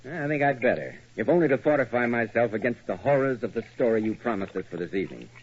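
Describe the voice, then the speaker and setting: A man announcing a 1940s radio show, with a smooth and persuasive delivery. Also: mid-range voice, expressive, quick pace. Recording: dull old radio broadcast.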